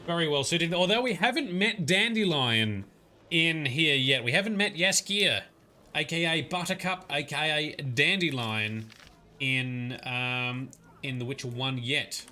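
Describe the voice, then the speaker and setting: A man's voice: fairly high pitch, unhurried, bright, forceful tone, slight background noise. A young man casually narrating a game on a livestream, chatting with viewers and answering their comments. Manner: chatty, reads and answers chat comments, frequent "um"s.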